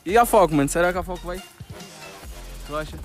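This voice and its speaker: A young adult man with a Northeastern Brazilian accent, talking in slangy, excitable vlog style with short repeated commands, outdoors on a phone. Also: high-pitched, fast talker. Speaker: motovlogger. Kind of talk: casual vlog chat and riding instructions. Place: outdoors on an empty street by a motorbike. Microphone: phone at arm's length. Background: music, motorbike engine.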